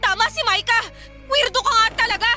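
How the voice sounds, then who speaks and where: An actress playing a frightened young woman alone at night, hushed and jumpy, then turning defiant, in a radio drama. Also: extremely high pitch, fairly quick, soft background music, full character voice. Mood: angry, defiant.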